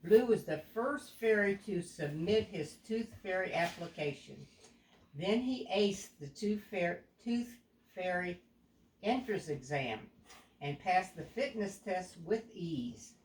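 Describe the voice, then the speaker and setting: Older woman, storytelling to a child, unhurried pace, lowish voice, explanatory asides, echoey room. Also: lively intonation, a little mellow. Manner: deliberate, explaining, with stumbles over words. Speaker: grandmother reading a picture book to a child. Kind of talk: read-aloud storytelling, pausing for asides to a child. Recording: echoey room with background noise.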